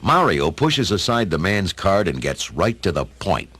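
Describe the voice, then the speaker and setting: A man's voice: low voice, very animated, a little slow, fairly bright tone, slightly rough, full character voice. A man narrating a radio play as a dramatic storyteller, with a folksy, wry manner.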